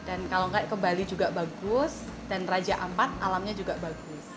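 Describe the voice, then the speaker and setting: A woman's voice: fairly low pitch, fairly even intonation, normal speed, music in the background. A woman from Yogyakarta answering interview questions in an upbeat, enthusiastic way.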